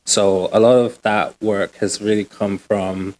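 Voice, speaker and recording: A man talks easily as an interview guest. His voice sits low, and he is relaxed and slangy, with plenty of ums and likes. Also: unhurried, rough recording. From Uganda, raised in the UK. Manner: relaxed, slangy, many fillers. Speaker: guest, nonprofit co-founder. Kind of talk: casual interview talk.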